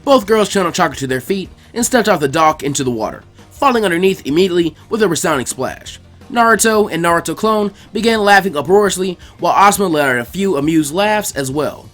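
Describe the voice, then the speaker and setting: A man narrates a story in an expressive audiobook style. His pitch moves around in a lively way, with music playing softly behind him. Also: fast talker. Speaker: narrator, fan fiction reader.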